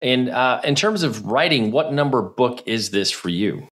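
A man's voice: medium pitch, animated, moderate pace, a bit bright. A middle-aged man hosting a podcast from his home studio, reading a scripted opening and then asking friendly questions. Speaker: podcast host. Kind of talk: podcast interview. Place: indoors, home studio with neon sign. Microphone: over-ear headset.

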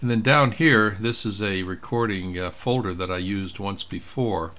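A man giving an informal step-by-step software demonstration, thinking aloud as he clicks through each step. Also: fairly low pitch, normal speed. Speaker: presenter of a software tutorial. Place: indoors at a computer.

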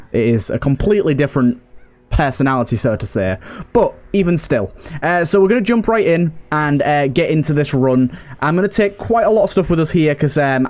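A man's voice: on the high side, a little mellow. A man hosting a video game playthrough with casual, conversational commentary. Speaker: gaming host. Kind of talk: casual let's play commentary.

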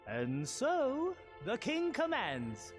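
A woman playing a dutiful young royal attendant who announces commands in an animated fairy tale. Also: high voice, very slow, soft background music, heavily acted. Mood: formal.

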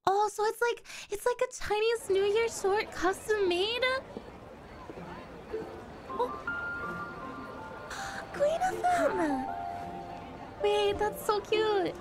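A woman's voice: very high voice, expressive, slow, pauses often, music in the background. A young woman streamer giving casual reaction commentary, bubbly, gasping and squealing at what she watches.

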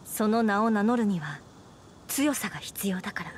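A woman's voice: medium pitch, lively intonation, moderate pace, a little hiss or hum, natural voice. A woman playing a dutiful young knight commander, formal and composed, in a Japanese anime game. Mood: earnest, reverent. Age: young adult.

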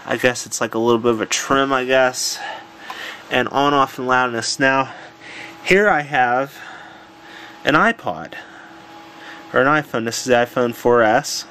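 A man casually demonstrating a home electronics project, unhurried and thinking aloud with fillers, filmed on a handheld camera in a room at home. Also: medium pitch, pushed and bright, slight background noise. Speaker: tutorial presenter. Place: home room with old radio.

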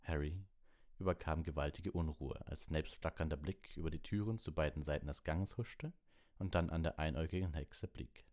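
A man with a low voice narrates an audiobook. He reads the scripted story as its narrator. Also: moderate pace, a little mellow.

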